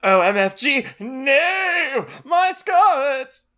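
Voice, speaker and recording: A man plays a gothic teenage vampire boy for a comic story reading. The voice is pushed up high and swings wildly, whiny and melodramatic. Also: very animated, extremely slow, pushed and bright, full character voice. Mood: screaming anguish. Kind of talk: comic dramatic reading of fan fiction.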